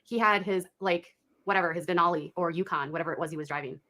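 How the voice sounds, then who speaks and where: A woman's voice: on the low side, quick pace, pushed and bright. A woman retelling a story in a casual, rambling way and repeating herself, heard in a clip played from a live stream.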